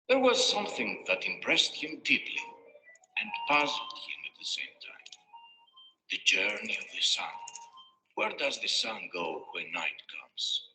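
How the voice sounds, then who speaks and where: A man's voice: medium pitch, pushed and bright. A man narrating a scripted fairy tale as a storyteller, with a dramatic storybook delivery. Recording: echoey reverberant playback with music. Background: music.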